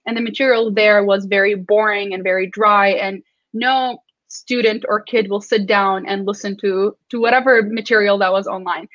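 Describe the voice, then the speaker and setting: A woman's voice: medium pitch, steady tone, moderate pace, fairly bright tone, no echo. A young woman from Iraq with an Iraqi accent, a native Arabic speaker, telling her story earnestly as a panelist on a video call. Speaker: panelist, science communicator. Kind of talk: online panel talk. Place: small room indoors. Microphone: earbud on video call. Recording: dry, dull video call audio.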